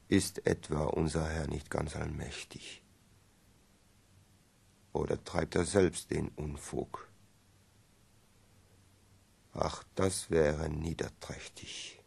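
An actor playing a grave, brooding man solemnly reciting verse in a radio play, his voice very husky and gravelly. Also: extremely low pitch, darkish tone, exaggerated voice. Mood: bitter.

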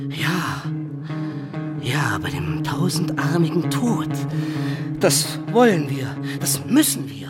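A man's voice: high voice, exaggerated voice. An actor playing a betrayed, embittered young nobleman turned robber captain in a German radio play, impassioned and declamatory. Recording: dramatic music under the voice. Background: music.

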